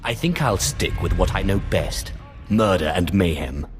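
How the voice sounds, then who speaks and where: Casting: a cold dark lord in a game; bassy, commanding, dryly sardonic, faint score underneath. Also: male voice, lively intonation, a little slow, faint music behind. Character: cold, menacing, arrogant Sith lord.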